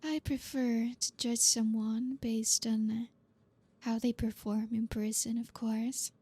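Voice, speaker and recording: A woman's voice: on the high side, little pitch movement, slow, dark tone, breathy edge. A woman playing a flirty, teasing, dominant boss in an ASMR roleplay, speaking breathily and often slipping into a whisper. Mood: composed, suggestive.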